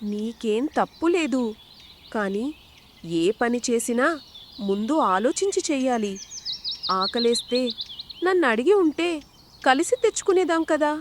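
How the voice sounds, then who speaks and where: A woman playing a gentle motherly bird. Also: fairly high pitch, expressive, a little slow, pauses often, a little hiss or hum. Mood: advising. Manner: soothing and advising. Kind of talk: storybook character voice.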